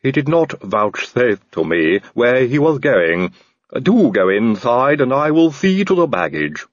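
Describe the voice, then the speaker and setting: A man doing a slow, unflappable stitched-up servant. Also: mid-range voice, big pitch swings, dry recording, lo-fi sound, pitched above the performer's own voice. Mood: calm. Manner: slow, unruffled, oddly polite. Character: calm male manservant, stitched-together odd-job man.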